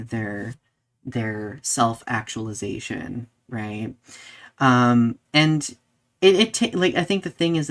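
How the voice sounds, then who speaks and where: Reflective, conversational podcast host. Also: mid-range voice. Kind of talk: conversational discussion, addressing live audience.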